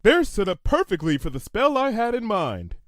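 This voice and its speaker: A man's voice: high voice, wildly swooping pitch, moderate pace, a bit bright, no room sound, clean studio sound, pitched above the performer's own voice, heavily acted. A man voicing a scheming, self-assured female unicorn pony in a storybook reading, musing in a theatrical, declamatory way. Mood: smug, eager. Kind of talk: fan fiction storybook character voice.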